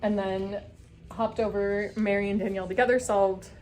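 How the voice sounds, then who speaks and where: A woman's voice: medium pitch, steady tone, moderate pace, a little mellow. A woman chatting enthusiastically and casually as the host of a group recap vlog.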